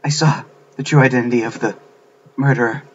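A man voicing a shaken, solemn schoolgirl who has witnessed murders, in a grave, hushed delivery for a game. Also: medium pitch, steady tone, a little fast, muffled recording, a little hiss or hum, close to an everyday voice.

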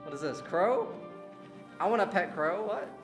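Man, casual reaction chat, very animated pitch, excitable slangy exclamations, game music behind. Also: moderate pace. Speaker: reaction video co-host. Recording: game soundtrack playing behind.

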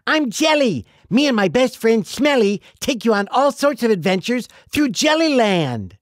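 A man's voice: very high voice, big pitch swings, moderate pace, no room sound, studio quality, pitched above the performer's own voice. A man voicing a cheeky, bossy cartoon character for a children's show. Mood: excited.

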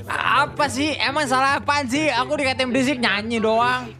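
A woman's voice: sits high, steady tone, moderate pace, sharp tone, faint music behind. A woman in casual gaming roleplay chat, teasing and often breaking into sing-song chants. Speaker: gaming streamer.

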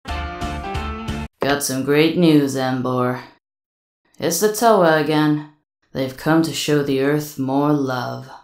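A woman voicing a dry, deadpan, weary alien robot crew member in a cartoon comic dub. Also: deep voice, drawn-out words, pauses often, exaggerated voice. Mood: bored. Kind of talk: animated comic dub acting. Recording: roomy, music behind.

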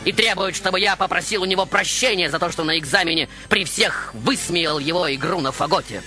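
Proud baroque composer, bright forceful voice, theatrical storytelling, dramatic pauses, faint music behind. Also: male voice, high voice. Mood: grave, proud. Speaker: radio show host in character. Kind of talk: dramatic first-person radio monologue.

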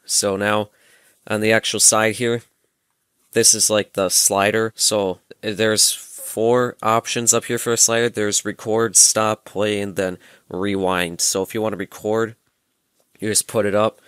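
A man's voice: on the low side, level tone, a little slow, a bit bright, smooth, no echo. A young adult man, giving a casual hands-on demonstration and explaining informally, step by step. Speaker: presenter of a gadget tutorial. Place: small room at home, indoors. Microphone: headset microphone.

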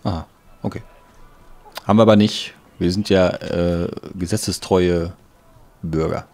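Casual man with a creaky low voice. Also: steady tone, measured pace, darkish tone. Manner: offhand, thinking aloud, colloquial. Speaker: let's play host. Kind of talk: casual gameplay commentary.

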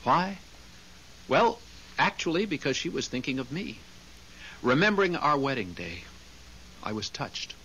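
Naive polite new ghost, sentimental husband, lively pitch, old radio play with hiss. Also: male voice, unhurried, pauses often, highs cut off. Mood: earnest, puzzled. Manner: earnest, polite. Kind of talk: 1970s radio drama acting. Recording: hissy old radio recording.

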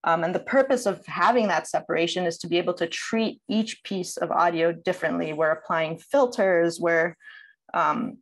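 Woman, lowish pitch, conversational explainer, online presentation, video call audio, instructional. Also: moderate pace, poor audio. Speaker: audio producer giving a presentation. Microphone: video call microphone.

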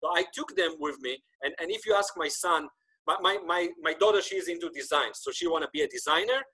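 A man in his thirties, native Hebrew speaker, hosting a casual podcast chat over a webcam. His voice sits a little high for a man, and he talks in an animated way, often stumbling and restarting his sentences. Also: fairly quick, slightly rough. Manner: animated, gesturing, many restarts. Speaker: podcast host. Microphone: video call webcam.

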